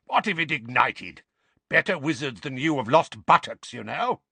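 A man's voice: mid-range voice, sing-song, moderate pace, gravelly, sharp tone, breathy edge, dry recording, pitched above the performer's own voice, full character voice. A man voicing a growling, irritable old wizard veteran. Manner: barking, commanding. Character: gruff, suspicious, irritable grizzled old male wizard veteran.

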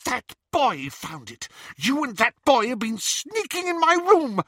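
Man putting on a shrill, spiteful young girl. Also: wildly swooping pitch, a little slow, bright, forceful tone, dry recording, clean studio sound, heavily acted. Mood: furious accusation. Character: bitter, scornful young girl, jealous older sister. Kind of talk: audiobook character reading.

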